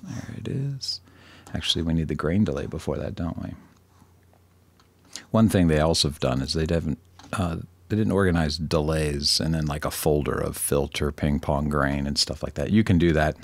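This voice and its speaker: A man with a very low voice and plenty of vocal fry presents a software tutorial. He talks informally and thinks aloud, poking a little fun at himself. The recording is close and dry. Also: moderate pace, no echo. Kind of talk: casual screen-recorded tutorial.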